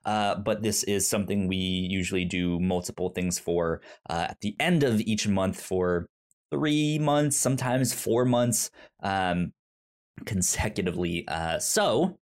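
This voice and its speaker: A man hosting a casual podcast chat, talking in a halting way with many fillers and stammered restarts. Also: low voice. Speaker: podcast host.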